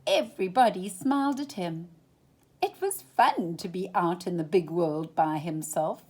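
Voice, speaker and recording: A woman reads a children's story at a slow, deliberate pace. Her voice is a little husky, and her intonation swings widely as she dramatizes the tale. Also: lowish voice. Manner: animated, deliberate, with dramatic gasps and hushed phrases. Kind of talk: expressive children's storybook reading.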